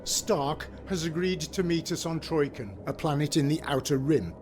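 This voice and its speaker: A man playing a worried, formal senator in a comic dub, speaking in a measured way over faint music. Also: a little high, lightly acted. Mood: concerned, formal.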